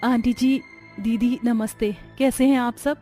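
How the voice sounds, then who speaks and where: A woman voicing a kind young sister-in-law in a cartoon story, gentle, polite and reassuring. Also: fairly high pitch, fairly even intonation, unhurried, faint music behind, close to an everyday voice.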